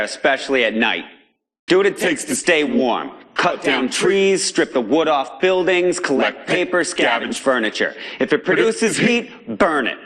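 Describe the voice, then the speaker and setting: A man's voice: sits high, sharp tone, heavily acted. A man with an American accent voicing a fiery, angry and defiant underground broadcaster in a video game, speaking in an emphatic, streetwise, preachy way. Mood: grave, urging. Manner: emphatic, streetwise, preachy, swings between outrage and gravity. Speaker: pirate broadcaster. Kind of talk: video game voice acting, pirate broadcast monologue.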